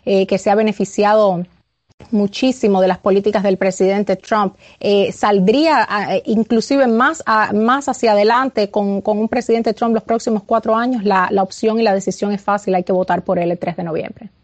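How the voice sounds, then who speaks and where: Woman, interview guest, persuasive, hesitant fillers, mid pitch. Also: steady tone, normal speed. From Cuba. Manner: earnest, persuasive, with frequent hesitations. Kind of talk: political interview. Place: remote, in the United States.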